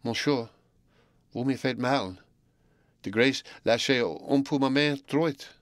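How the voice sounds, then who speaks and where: A man reading aloud voices a shy, earnest young woman pupil, speaking softly and slowly in a raised tone. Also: medium pitch, fairly even intonation, no echo, studio quality, pitched above the performer's own voice, lightly acted. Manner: soft, subdued and slow.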